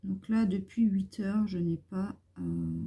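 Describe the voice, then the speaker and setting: A hoarse woman talks to her viewers at an unhurried, drawn-out pace, her voice mellow and low in brightness. She rambles in a relaxed, wandering way, recorded close on a phone indoors at home. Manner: rambling, meandering talk. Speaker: vlogger. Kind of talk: casual chat to viewers.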